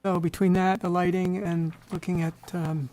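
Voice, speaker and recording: An American man with a slightly hoarse voice, chairing a public board meeting in an informal, halting way. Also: sits high, flat delivery, darkish tone. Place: town board meeting room.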